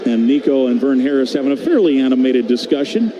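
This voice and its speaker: A man's voice: mid-range voice, lively intonation, fast talker. An American man calling live basketball play-by-play on radio in short, clipped phrases, describing the action as it happens. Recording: very noisy arena background. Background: arena crowd and game ambience.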